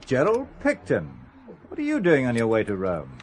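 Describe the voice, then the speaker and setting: A man's voice: medium pitch, huge pitch swings, moderate pace. A man playing a sardonic, reluctant amateur spy, a married textile merchant, in an audio drama with dry wit. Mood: breezily sociable. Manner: dry, sarcastic.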